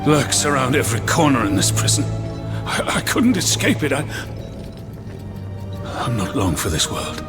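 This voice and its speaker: An actor playing a dying, feverish imprisoned inventor in a video game, halting, short of breath and emotional. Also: male voice, mid-range voice, very animated, over music, natural voice. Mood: resigned, weary. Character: frail, dying imprisoned inventor, grieving father. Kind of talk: dramatic video game cutscene acting.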